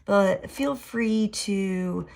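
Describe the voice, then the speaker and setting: A woman art teacher with a raspy voice, thinking aloud in a relaxed way as she draws a mandala at a desk. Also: medium pitch, extremely slow. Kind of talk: drawing demo tutorial.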